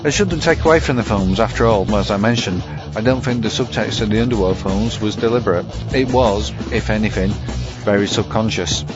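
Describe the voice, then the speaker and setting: A man presenting scripted film commentary in a measured, wordy, mock-academic manner. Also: fairly low pitch. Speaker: segment presenter, vampire film reviewer. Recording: dull audio over music bed.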